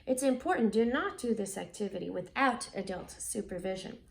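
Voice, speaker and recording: A woman's voice: mid-range voice, animated, a little fast, creaky. A woman with an American accent reading a picture book aloud and talking directly to children as the host. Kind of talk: expressive picture-book read-aloud with chatty asides.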